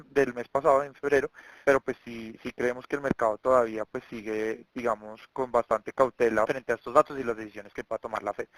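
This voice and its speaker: Deep-voiced man, halting market briefing. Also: fairly bright tone, no room sound, rough recording. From Colombia. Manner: halting, with frequent verbal tics and repeated words. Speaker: market analyst on an investor conference call. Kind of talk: semi-prepared market briefing.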